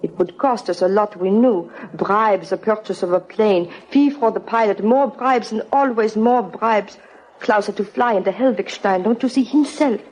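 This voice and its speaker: An actress playing a refined, pleading European princess in exile, speaking formally and haltingly in an old-time radio drama. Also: female voice, mid-range voice, darkish tone, lightly acted. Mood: grave, wistful. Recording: dull, muffled old radio recording.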